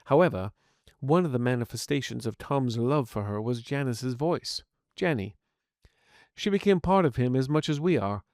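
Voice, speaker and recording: A man acts out the reasoning inner voice of a man in an audio story. It is calm and measured, explaining itself with remorse. The recording is dry. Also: mid-range voice, no room sound, close to an everyday voice. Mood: reluctant, explanatory. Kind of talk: scripted audio fiction dialogue.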